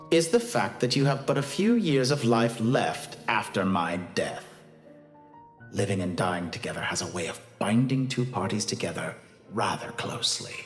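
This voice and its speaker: An actor playing an arrogant, calm mad scientist villain in a video game, speaking in a pompous, deliberate, theatrical way. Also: male voice, fairly low pitch, reverberant, soft background music. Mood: silky, amused.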